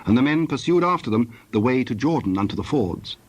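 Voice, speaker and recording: A man narrating scripture in a solemn, scripted storytelling style with a husky voice. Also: mid-range voice, animated, brisk, muffled recording.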